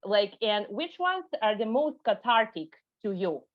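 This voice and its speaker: A woman's voice: mid-range voice, sing-song, measured pace. A woman interviewer asking questions in a chatty way, with many fillers and "you know"s. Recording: dull lo-fi sound.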